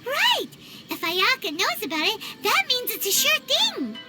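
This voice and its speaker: Game fairy sidekick: childlike, curious, very animated, unhurried delivery. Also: female voice, exaggerated voice. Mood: eager. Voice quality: squeaky, childlike. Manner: bubbly, curious, exclamatory. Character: small excitable childlike fairy companion, speaks in third person. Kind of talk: video game voice acting. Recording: loud music behind the voice.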